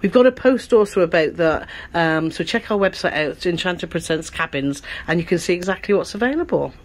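A woman's voice: fairly low pitch, animated, brisk. Chatty woman touring a cabin. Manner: chatty, seeks agreement with tag questions. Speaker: travel vlogger co-host. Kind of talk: casual tour chat. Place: cruise ship cabin, indoors.

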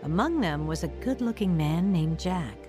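An earnest born-again woman played by an actress tells her own story in a medium-pitched voice with music behind her. She is sincere and measured, in the style of an audio drama testimony. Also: animated, lightly acted. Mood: reflective. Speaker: lead actress and first-person narrator. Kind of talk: dramatized radio testimony narration.

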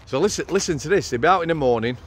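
A man's voice: fairly high pitch, expressive, racing. Blunt middle-aged man outdoors. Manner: blunt, prompting questions. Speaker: host interviewing a former prisoner. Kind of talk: walk-and-talk interview. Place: outdoors on a street at night. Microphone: handheld mic. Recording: faint street noise outdoors.

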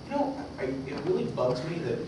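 A man giving an informal tech presentation to an audience, talking in a casual, halting, conversational way. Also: mid-range voice, sing-song. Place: meetup room with an audience. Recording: echoey room from far off, clear noise.

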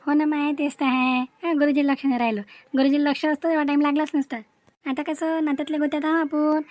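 Cartoon village matron, played by a woman: high voice, folksy, chatty and boastful, rural Vidarbha Marathi accent. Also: level tone, quick pace, a little mellow, no room sound, close to an everyday voice. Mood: persuasive, boastful. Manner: talkative, folksy, repeats herself. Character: chatty, boastful older village woman arranging a family match. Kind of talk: Marathi cartoon comedy acting.

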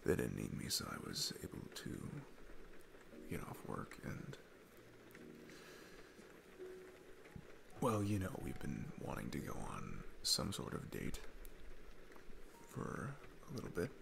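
Man acting as shy sweet single dad, slow, gravelly low voice, hesitant, romantic ASMR roleplay. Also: wildly swooping pitch, background noise, exaggerated voice. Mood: flustered, shy. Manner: hesitant, halting, gentle.

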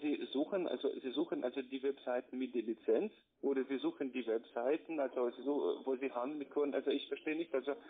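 A call centre salesman, a man arguing on the phone in a halting, repetitive, insistent way. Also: medium pitch. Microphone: telephone call. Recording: tinny narrow-band phone line.

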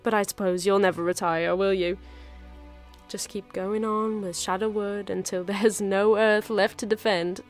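A woman playing a warm, wry former investigator turned librarian in a full-cast audio drama. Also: mid-range voice, fairly even intonation, measured pace, soft background music, close to an everyday voice. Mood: teasing.

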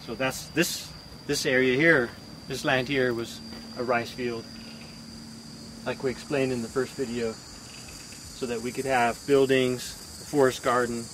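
A man's voice: mid-range voice, steady tone, unhurried. An older man giving a casual walking tour of his rural homestead, explaining his methods in a plain, practical, step-by-step way. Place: outdoors on a rural homestead with rice fields around. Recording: outdoor recording with insects and rural noise.

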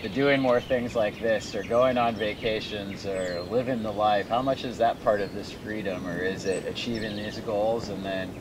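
A man's voice: fairly low pitch, steady tone, moderate pace, hardly any pauses. A middle-aged man hosting a casual conversational interview as a coach, talking with a friend. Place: outdoors on paddleboards among mangroves. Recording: very noisy outdoor recording with birds. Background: birds and outdoor ambience.

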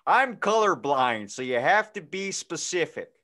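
A man's voice: fairly high pitch, sing-song, unhurried, pushed and bright, exaggerated voice. A man voicing a clueless, senile sports-fan dad who rambles in an improvised comedy phone skit. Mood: confused, chatty. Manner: rambling, oblivious, chatty.

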